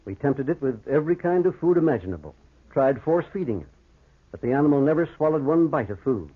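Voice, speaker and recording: A man's voice: fairly high pitch, expressive, fast talker, husky. A man playing an earnest, educated doctor in an old-time radio horror drama, speaking in a precise, formal and grave manner. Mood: grave narration. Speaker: actor and first-person narrator. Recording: muffled, tinny vintage radio recording.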